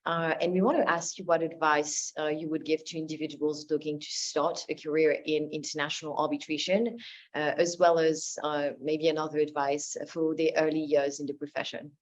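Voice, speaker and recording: Low-pitched woman interviewer. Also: level tone, moderate pace. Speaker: interviewer, host. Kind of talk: interview questions. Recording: dull lo-fi sound.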